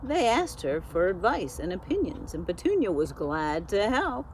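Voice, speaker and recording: A woman narrating a children's storybook expressively, like a school librarian, with deliberate dramatic emphasis. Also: lowish voice, very animated, a little mellow, a little hiss or hum, poor audio. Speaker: school media specialist reading a storybook.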